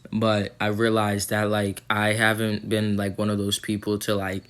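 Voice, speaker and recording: A man's voice: fairly low pitch, completely flat delivery, normal speed, a bit bright, clear, resonant tone. A young man recording a casual vlog update, rambling with lots of ums and likes, his throat a little sore. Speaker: vlogger.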